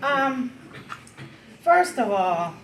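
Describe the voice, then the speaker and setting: Big-voiced older woman, slow and high. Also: very animated, slight background noise. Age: 70s. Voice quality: big, projecting voice. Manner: emphatic, outspoken, with pauses for effect. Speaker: reunion speaker. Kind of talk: informal speech to a gathering. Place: echoey indoor gathering room. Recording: echoey room.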